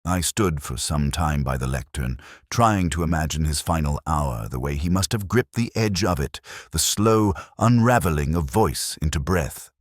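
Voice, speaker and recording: Very deep-voiced man narrating a mystery. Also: expressive, no room sound, clean studio sound. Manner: measured, literary, reflective delivery. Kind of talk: scripted first-person audio drama narration.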